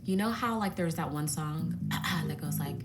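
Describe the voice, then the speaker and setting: A woman's voice: sits low, moderate pace. Playful chatty woman over music. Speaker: dating show contestant. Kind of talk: casual chat.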